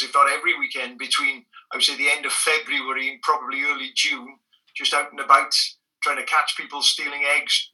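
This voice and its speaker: A middle-aged man with a Welsh accent tells blunt stories in a very bright, cutting voice. He sits a little away from the microphone, so the sound is slightly distant. He stumbles and restarts often as he talks. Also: medium pitch. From Wales. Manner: frank, animated storyteller with frequent restarts. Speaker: guest, former bird protection officer. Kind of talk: conversational anecdote.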